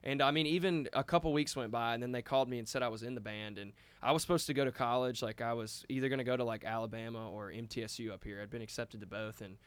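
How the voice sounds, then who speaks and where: A man's voice: mid-range voice, steady tone, fast talker, hardly any pauses, clear, resonant tone, no echo. A man in a band, telling a long story casually with lots of restarts and "like.". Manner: rambling, with many restarts and "like". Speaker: band member.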